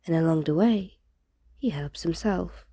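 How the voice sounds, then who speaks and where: A woman's voice: on the low side, a little slow, dark tone, no room sound, studio quality. A woman reading fan fiction aloud as scripted narration, in the style of an audiobook. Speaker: fan fiction podfic reader.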